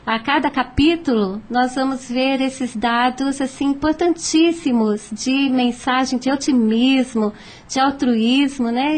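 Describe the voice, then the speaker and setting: A woman with a Brazilian accent sharing reflections in an unscripted discussion. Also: high voice.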